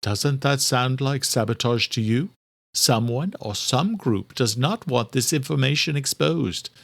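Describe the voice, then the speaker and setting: A man's voice: medium pitch, a little slow, vocal fry, dry recording. A man voicing a polite, inquisitive woman doctor in an audiobook, attentive and questioning. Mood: attentive, concerned. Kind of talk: audiobook character dialogue.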